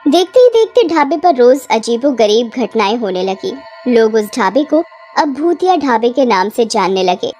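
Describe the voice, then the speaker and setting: A woman narrates a cartoon storybook tale. Her voice sits fairly high and moves with very animated intonation. Music plays behind her. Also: moderate pace.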